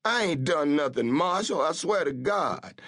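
A man's voice: medium pitch, very animated, normal speed, no room sound, clean studio sound, voice pushed up. A man doing a terrified begging suspect. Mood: scared. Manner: desperate, begging, broken grammar. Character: frightened pleading middle-aged man.

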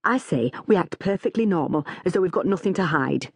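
A woman's voice: fairly low pitch, expressive, a little fast, a little mellow, husky. A woman voicing an edgy, melodramatic middle-aged woman for an audiobook, with an anguished, moaning manner. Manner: gulping, moaning, dramatic.

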